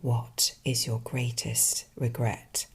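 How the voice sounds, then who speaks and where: A low, breathy whisper of an Angel of Death, performed by a woman storyteller. The delivery is slow, grave and eerie, with every word left hanging in a hushed, hooded solemnity. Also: little pitch movement, full character voice. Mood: solemn, probing. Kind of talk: dramatic short story reading.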